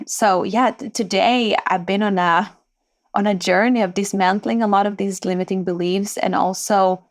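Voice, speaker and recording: A woman in her thirties from Sweden, a founder telling stories from her life in a reflective, warm way in a podcast interview. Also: medium pitch. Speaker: entrepreneur guest.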